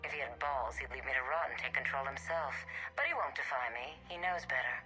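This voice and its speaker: A woman's voice: extremely low pitch, expressive, fairly quick, pushed and bright, soft background music, heavily acted, poor audio. A woman playing a ruthless, domineering imprisoned mercenary boss in a video game, menacing and relishing her power. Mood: contemptuous, commanding.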